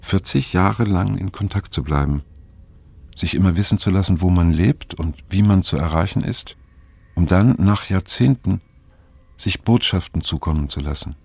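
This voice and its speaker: Radio drama narration by an older man looking back on his life. The voice is low and gravelly, with a husky edge. He speaks in measured, pensive fragments, haunted and reflective. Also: a little mellow, no echo, heavily acted. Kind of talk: scripted radio drama narration, introspective monologue.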